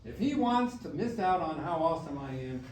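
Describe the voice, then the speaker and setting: Echoey, animated, high-voiced grandfather played by an actor. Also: moderate pace. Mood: earnest, firm. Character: playful, loud, loving grandfather back from death. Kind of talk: staged musical reading, acted dialogue. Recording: distant, echoey room with faint noise.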